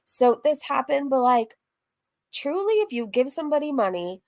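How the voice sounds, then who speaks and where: High-voiced woman, rambling and candid. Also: big pitch swings, normal speed. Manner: rambling, candid, interrupts herself with asides. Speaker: streamer and host of a reaction livestream. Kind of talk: casual chatty recap, reading messages aloud.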